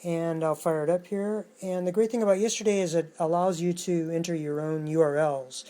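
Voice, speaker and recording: A man giving a casual hands-on technical demonstration as an electronics hobbyist, speaking in a halting, matter-of-fact way. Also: fairly high pitch, steady tone, dark tone.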